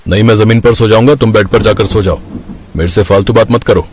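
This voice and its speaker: A man's voice: on the low side, steady tone, a little fast, a crowd in the background, natural voice. A man playing a young husband in a family story, earnest and plain-spoken. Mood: curt.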